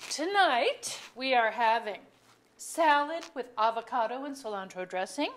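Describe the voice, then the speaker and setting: A high, earnest voice of a middle-aged married mother acted by a woman. She is a chatty hostess in a staged comedy reading, with faint noise behind her. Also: animated, unhurried, a bit bright. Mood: chatty, bright. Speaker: actor in a staged play reading. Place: museum auditorium stage.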